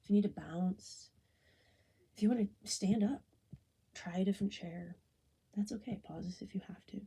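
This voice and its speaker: A very husky, breathy woman leads a guided body scan. Her soft voice is very dark and mellow, with a gravelly creak at the edges. She gives gentle, unhurried instructions that often sink toward a whisper. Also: medium pitch. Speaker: meditation guide.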